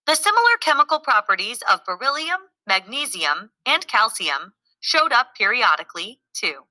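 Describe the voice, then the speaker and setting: Woman reading out a lesson, super bright voice, lots of up and down, really dry close recording. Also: on the high side, sing-song, normal speed, no room sound, low-quality recording. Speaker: narrator. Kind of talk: scripted educational narration, reading aloud.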